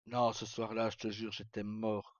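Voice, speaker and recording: A man's voice: fairly low pitch, monotone, normal speed, no room sound, highs cut off. A man hosting a livestream, chatting informally and casually with some mild swearing. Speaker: livestream host. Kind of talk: casual chat.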